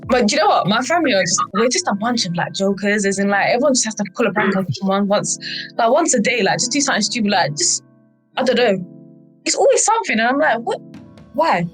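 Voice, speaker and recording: A young woman chatting casually with friends in an animated, teasing way, often repeating her phrases. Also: fairly high pitch, quick pace, soft background music, poor audio. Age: young adult. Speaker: youth advisory board member on a podcast. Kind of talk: casual chat among friends.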